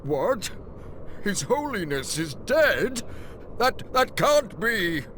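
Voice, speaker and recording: Pompous high priest, wildly swooping pitch. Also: male voice, very animated, drawn-out words, fairly bright tone, slight background noise, heavily acted. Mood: shocked. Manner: pompous, theatrical. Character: scheming, self-pitying older high-ranking priest. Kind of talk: dramatic video game voice acting.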